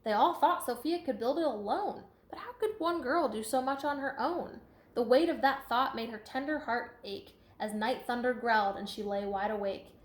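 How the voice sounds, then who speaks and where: A woman reading a children's picture book aloud with expressive emphasis and chatty asides to listeners. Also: fairly high pitch, moderate pace. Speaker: storybook reader. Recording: echoey room.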